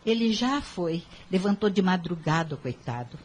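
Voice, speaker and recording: A woman's voice: lowish voice, expressive, moderate pace, slight background noise, natural voice. A woman playing a poor, hardworking and devout mother in an audio drama. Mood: tender, resigned.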